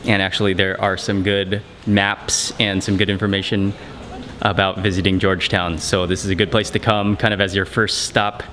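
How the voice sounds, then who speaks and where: A man casually narrating a travel vlog while walking outdoors, relaxed and chatty with fillers. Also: low-pitched, moderate pace. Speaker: travel vlogger. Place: outdoors on city streets. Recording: music bed and traffic behind.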